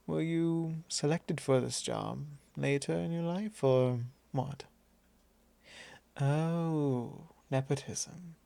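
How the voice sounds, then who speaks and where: A man playing a possessive, teasing vampire lord in an intimate ASMR roleplay, speaking softly in a measured, drawling, formal way. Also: medium pitch, gravelly, dry recording. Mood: teasing, curious. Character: possessive male vampire lord, teasing, calmly probing his thrall.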